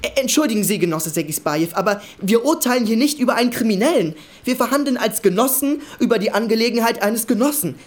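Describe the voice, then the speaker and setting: A woman playing an earnest party comrade in a radio drama, arguing insistently and a little haltingly for fairness. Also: a little high, expressive, lightly acted. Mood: earnest, pleading. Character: earnest young party comrade, shepherd's child, pleading for fairness. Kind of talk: German radio drama acting.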